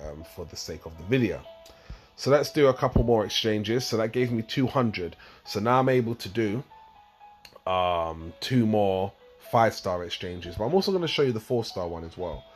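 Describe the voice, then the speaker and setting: A man hosting a gaming channel, talking through a mobile game tutorial in a casual, rambling way with a slightly croaky voice. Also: lowish voice. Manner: rambling, explains step by step with fillers.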